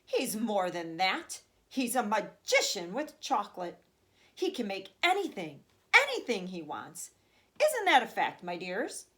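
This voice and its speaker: A woman voicing an excitable, kindly old grandfather from a children's storybook, dramatic and savoring each detail. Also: mid-range voice, a little slow. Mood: excited, marveling. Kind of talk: children's storybook read aloud.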